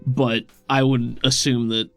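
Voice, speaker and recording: Gravelly-edged man running a tabletop game. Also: medium pitch, flat delivery, unhurried, fairly bright tone. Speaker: game master. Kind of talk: casual tabletop game narration and rules talk. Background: faint music.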